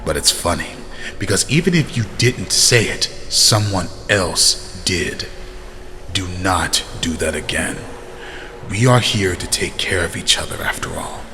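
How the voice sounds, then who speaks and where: A man voicing a calm, watchful vampire clan elder who gives measured, authoritative orders in a scripted fantasy drama. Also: low voice, exaggerated voice. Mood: stern. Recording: long reverb, faint background noise.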